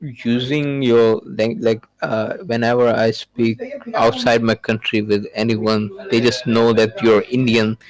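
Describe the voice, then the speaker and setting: A man's voice: medium pitch, level tone, unhurried, no echo, low-quality recording. A man whose first language is Urdu, chatting casually in English on a podcast, hesitant, with many fillers and restarts.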